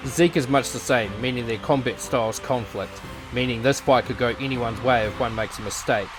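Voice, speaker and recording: A man's voice: medium pitch, moderate pace, music in the background, close to an everyday voice. Dry, know-it-all man playing a fight analyst. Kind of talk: visual novel voice acting.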